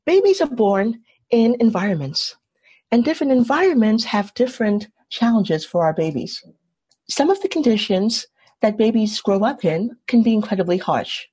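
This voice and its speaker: Facilitator, woman from Trinidad, husky and a bit high, engaging teacher on a video call. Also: sing-song, moderate pace, mellow. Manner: engaging, asks the group questions. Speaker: workshop facilitator. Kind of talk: online workshop teaching.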